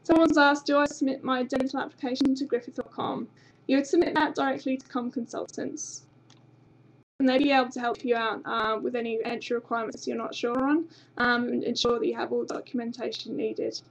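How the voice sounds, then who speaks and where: A woman's voice: on the high side, little pitch movement, fairly quick, gravelly, poor audio. A young woman from England with an English accent slightly tinged Australian, chatty and informative, presenting a university webinar from a small office on a webcam. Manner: chatty and informative, frequent ums. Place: small office with banner behind. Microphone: webcam at desk.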